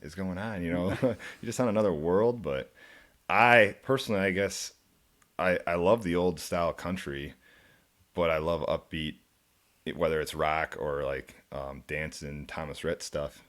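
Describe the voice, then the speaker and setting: Young man, very deep low voice, laid-back rambling talk, studio guest. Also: measured pace, fairly bright tone. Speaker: country musician guest. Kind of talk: casual interview chat. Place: radio studio.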